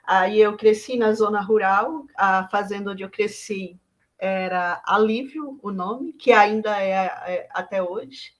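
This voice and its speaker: A Brazilian woman artist from Bahia, talking through her work in a reflective, halting way with many fillers and restarts. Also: mid-range voice. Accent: Brazilian Portuguese. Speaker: visual artist.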